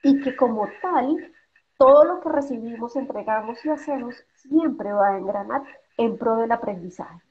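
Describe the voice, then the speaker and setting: A woman's voice: fairly high pitch, animated, normal speed, darkish tone. A woman in her forties, a guest speaker on a video call from a room at home, explaining with emphasis, asking rhetorical questions and acting out what parents say. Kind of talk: explanatory interview talk. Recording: dull lo-fi video call audio.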